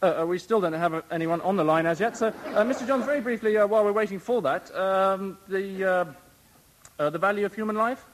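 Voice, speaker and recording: A man's voice: sits high, a little fast, slight background noise, close to an everyday voice. An actor playing a hapless male radio phone-in host who stumbles and stalls with endless ums and uhs. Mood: flustered. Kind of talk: radio comedy sketch.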